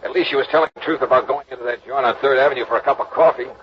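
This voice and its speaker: A dull, old radio recording carries a male actor as a tough insurance investigator. He is American, with a mid-pitched voice. His delivery is clipped, wisecracking and pressing, in 1950s detective drama style. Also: rapid-fire, slight background noise, natural voice. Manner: clipped, hard-boiled, pressing.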